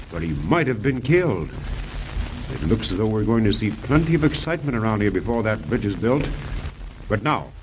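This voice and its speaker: A man announcing an old children's radio serial, emphatic and dramatic, addressing young listeners directly. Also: medium pitch. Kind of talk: 1930s-40s radio announcing, dramatic recap and sponsor pitch. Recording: muffled old radio broadcast with hiss.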